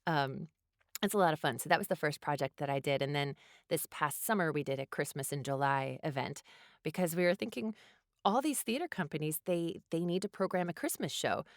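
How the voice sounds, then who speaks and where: A woman's voice: deep voice, fairly quick, no echo, studio quality. A woman chatting casually as an interview guest, telling stories in a conversational way.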